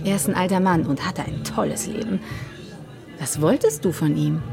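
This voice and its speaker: Low-voiced woman at a bedside. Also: normal speed, gravelly, music in the background. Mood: concerned, soothing. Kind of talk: German radio drama dialogue.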